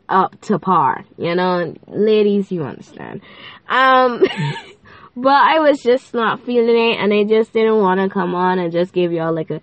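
A woman's voice: medium pitch, dry recording. A fifteen-year-old girl hosting her own faith podcast, chatty and playful, with a stuffy, nasal voice and a lilt. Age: teens. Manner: bubbly, chatty, playful, many fillers. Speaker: podcast host. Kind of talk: casual faith podcast chat.

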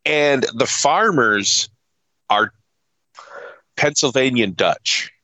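Man, podcast co-host, bright forceful voice, very lively pitch, profane, exasperated, emphatic, casual ranting. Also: extremely slow, dry recording, low-quality recording. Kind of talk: casual chat, ranting movie review.

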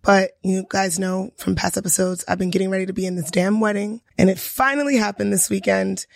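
Hoarse, gravelly-voiced New York woman. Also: medium pitch, fairly even intonation, brisk, no room sound, clean studio sound. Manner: storytelling, laughs often, swears casually. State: hoarse from a lost voice. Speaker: podcast co-host. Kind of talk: casual chat.

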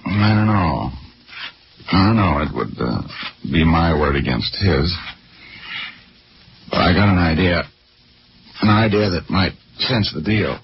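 A man's voice: deep voice, expressive, a little slow, gravelly, long pauses, a little hiss or hum, full character voice. A man playing a wry, cocky private detective in 1940s radio drama style, with an offhand, hesitant delivery. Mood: woozy, determined. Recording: muffled 1940s radio broadcast. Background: music.

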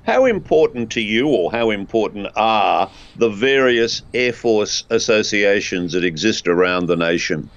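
A man with a fairly low voice conducts a conversational interview. The recording is a little dull and muffled. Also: lively intonation, unhurried, few pauses.

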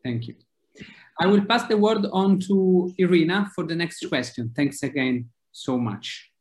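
Thankful man co-host, slightly high voice. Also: animated, unhurried. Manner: warmly thankful, hands over the floor. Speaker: podcast co-host.

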